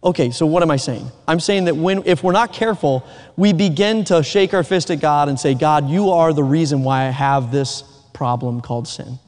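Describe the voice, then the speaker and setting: A man's voice: a little high, normal speed, darkish tone. A man with a Southern American accent preaching a Sunday evening sermon, earnest and emphatic, with joking asides. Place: church sanctuary. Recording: reverberant church hall.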